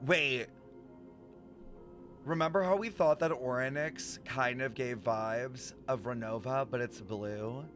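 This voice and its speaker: A man's voice: medium pitch, a bit bright. A young man streaming, reacting casually to a game story, musing aloud with incredulous swearing. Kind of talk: casual live reaction chat. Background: game music.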